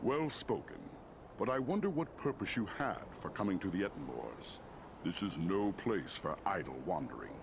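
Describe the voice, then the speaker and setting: Stern old-world ruler of giant eagles, fantasy game: measured authority, expressive intonation, clear noise behind. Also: male voice, deep voice. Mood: grave, probing. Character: regal male giant eagle lord, wise and stern. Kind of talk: scripted fantasy game dialogue.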